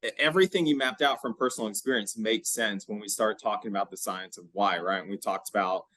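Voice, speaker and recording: A man hosting a podcast, explaining exercise science in a conversational, halting way with frequent check-in questions. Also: fairly low pitch, a bit bright, dry recording.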